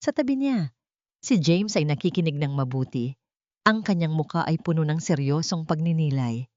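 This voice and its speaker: A woman's voice: deep voice, expressive, moderate pace, darkish tone, no room sound, dull audio. A woman reading a dramatic story as a scripted audiobook narration.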